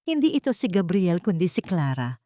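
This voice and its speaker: Female narrator, Filipino accent, lower register, dramatic scripted storytelling, dry close recording. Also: big pitch swings, normal speed, mellow, no room sound.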